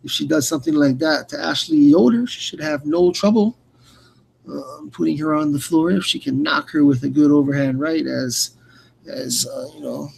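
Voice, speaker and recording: A man hosting fight commentary in a casual, rambling, unscripted way, often halting and repeating words. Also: a little high, creaky, darkish tone, slightly rough, rough recording. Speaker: radio play-by-play host.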